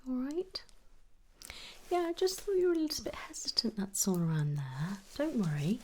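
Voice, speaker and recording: A woman speaking softly and reassuringly, often slipping into a whisper, as she plays a doctor in an ASMR exam roleplay. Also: a little high, huge pitch swings, moderate pace, slight background noise. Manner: soft-spoken, reassuring, often drops into a whisper. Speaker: ASMR host role-playing a doctor.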